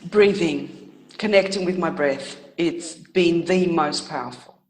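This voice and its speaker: A woman answering interview questions in a casual, reflective way, earnest and halting, pausing to think and correcting herself. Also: lowish voice, a little mellow, low-quality recording. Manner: earnest, halting, thoughtful pauses with self-corrections. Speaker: interview guest, author.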